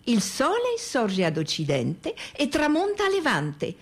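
A dogmatic absurdist instructor in a radio play. The husky voice swings widely in pitch while laying down topsy-turvy rules in a didactic, declarative way. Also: female voice, wildly swooping pitch, measured pace, exaggerated voice. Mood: dogmatic, instructing. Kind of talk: theatrical radio monologue.

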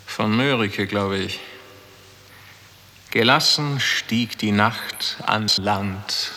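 An actor playing a smug, cynical superior with poetic pretensions in a 1960s German radio drama, suave and sardonic. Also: male voice, fairly low pitch, very slow, bright, forceful tone, exaggerated voice. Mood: amused, sardonic. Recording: reverberant hall, 1960s radio production.

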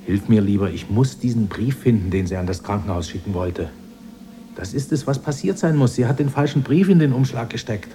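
An actor playing an anxious, dutiful husband in a radio drama, speaking earnestly and with urgency. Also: male voice, medium pitch, expressive, a little fast, dark tone, a little hiss or hum, close to an everyday voice. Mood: suspicious, urgent. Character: anxious, dutiful husband pleading for his wife.

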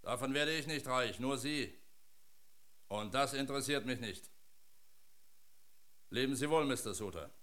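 An actor playing a blunt, independent hired worker in a German radio drama, speaking firmly and matter-of-factly. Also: male voice, medium pitch, a little slow, pauses often, fairly bright tone, close to an everyday voice. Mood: blunt, resolute. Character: blunt, independent male hired worker, unimpressed by his master.

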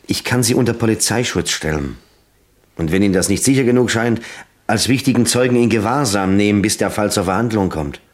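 Deep-voiced, insistent police lieutenant, played by a man. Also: lightly acted. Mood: urgent. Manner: deliberate, probing, emphatic. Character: earnest, insistent, world-weary American police lieutenant. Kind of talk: German crime radio play acting.

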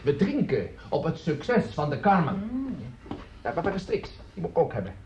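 An actor playing a tippling, blustery music teacher and father in a radio play, rambling and halting as he talks. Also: a little high, big pitch swings, a little mellow. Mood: impatient. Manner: rambling, halting, prone to mutter and swing up. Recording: dull, noisy radio play recording.

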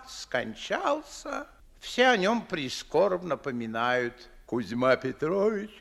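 A pompous old Moscow nobleman in a classic radio comedy. His voice is high and bright, and he lectures fussily in slow, theatrical, weighty phrases. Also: vocal fry, full character voice. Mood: musing, sighing. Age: older. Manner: theatrical, declamatory, drawn-out emphatic phrasing. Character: pompous, fussy old aristocratic Moscow father and official. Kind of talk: 1950s stage-style radio play acting.

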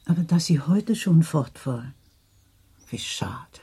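A woman's voice: sits low, animated, slow pace, warm tone, breathy edge, heavily acted. A woman playing a polite, inquisitive society lady in a radio drama, formal and solicitous in manner. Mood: polite, probing.

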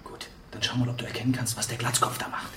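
An actor playing a driven, excitable German reporter in a radio drama, urgent and commanding. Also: male voice, mid-range voice, fast talker, distant mic, close to an everyday voice. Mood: determined. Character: driven, excitable German male reporter chasing stolen treasure. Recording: noisy, echoey, off the microphone.

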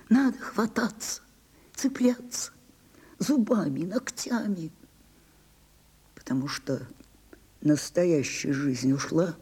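Grand aging stage diva for radio play: weary, capricious, commanding, unhurried, soft dark tone. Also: female voice, low voice, breathy edge, heavily acted. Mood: anguished, weary. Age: older. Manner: theatrical, commanding, dramatic pauses. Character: imperious, theatrical old French actress, weary and capricious. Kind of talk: Soviet radio drama acting.

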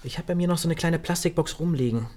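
Man acting an excitable, higher-voiced young hacker. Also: natural voice. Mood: inventive, excited. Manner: halting, thinking aloud. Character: excitable young male phone hacker inventing on the spot. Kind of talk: naturalistic German radio drama acting.

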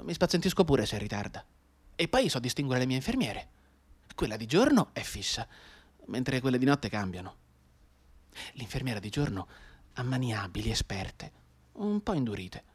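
A bedridden wounded soldier in a radio play. The voice is husky and breathy at a middle pitch. He soliloquises intensely, obsessed with time, and can sink into a whisper. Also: sing-song, moderate pace, pauses often. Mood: wistful, tender. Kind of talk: theatrical radio drama monologue.

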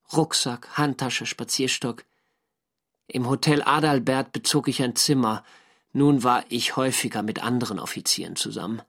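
A mid-pitched man as a reflective naval lieutenant. He narrates a diary for a literary radio drama, measured and sober. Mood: melancholy, sober. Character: reflective German naval lieutenant recalling the war's end.

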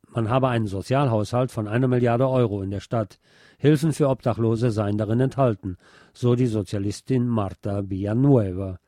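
A man presenting radio news, reading from a script in a measured bulletin style. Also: mid-range voice, dark tone, dry recording, studio quality.

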